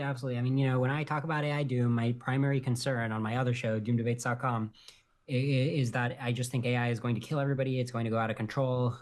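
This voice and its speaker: A man talking quickly as a podcast guest, his voice sitting in an ordinary middle range. He hedges a lot and fills his thoughts with ums and uhs. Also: medium pitch. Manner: rapid, hedging, many fillers. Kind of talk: casual interview chat.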